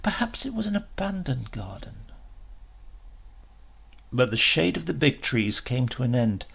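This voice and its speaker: Expressive male storyteller with a gravelly edge. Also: medium pitch, unhurried. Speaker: story reader. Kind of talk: expressive literary story narration.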